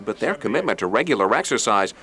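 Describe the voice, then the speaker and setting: A man's voice: fairly low pitch, sing-song, quick pace, crowd noise. A man reporting a TV feature, narrating scripted lines and asking questions in an inviting way.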